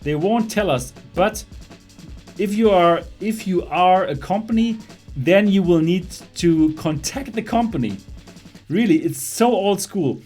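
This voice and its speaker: A man's voice: high voice, lively intonation, measured pace, a little mellow, faint music behind. A young man from Germany with a German accent, hosting a casual livestream about VR tech, chatty and laughing often as he reads chat. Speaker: host of a VR livestream show.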